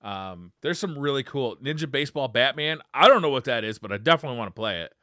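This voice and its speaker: A man's voice: mid-range voice, animated, fast talker, bright, forceful tone, no echo, studio quality. A man co-hosting a podcast, chatting casually and with enthusiasm about games. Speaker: podcast co-host. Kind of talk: casual gaming chat with commentary.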